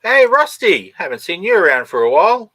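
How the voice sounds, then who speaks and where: A man's voice: sits high, big pitch swings, brisk, pushed and bright, no room sound. A man with an Australian accent hosting a drawing stream, chatting casually and reading out viewers' comments. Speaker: comic artist and stream host.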